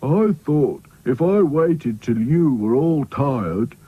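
Slow, glum, husky rag doll. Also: male voice, medium pitch, expressive, pitched above the performer's own voice. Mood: glum excuse. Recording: dull, muffled lo-fi audio.

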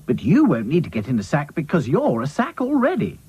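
A man voicing a bossy, snappy female rag doll who gives orders briskly in a children's cartoon. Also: a little high, huge pitch swings, darkish tone, slightly rough, pitched above the performer's own voice, full character voice. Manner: brisk, commanding, scolding. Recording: dull lo-fi audio with music.

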